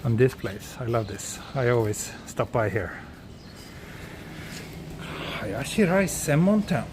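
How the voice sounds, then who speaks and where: A man from Sweden, speaking English as a second language, hosts a relaxed live walking stream outdoors and chats with viewers as he goes. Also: medium pitch, takes it slowly, background noise. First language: Swedish. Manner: relaxed, answers viewer chat while walking. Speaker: vlogger walking through a city. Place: outdoors on city streets.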